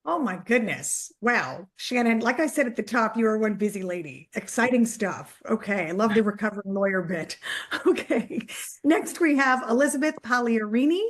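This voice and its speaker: A woman's voice: a little high, animated, normal speed, a little hiss or hum. A woman hosting an online executive panel, introducing guests in an upbeat, chatty way with many fillers. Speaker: host.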